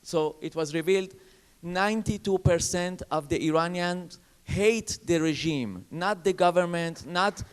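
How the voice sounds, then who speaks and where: Panelist, man, English, emphatic, somewhat high voice with a smooth, resonant tone. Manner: emphatic, repetitive, halting phrasing. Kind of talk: panel discussion. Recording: reverberant conference hall.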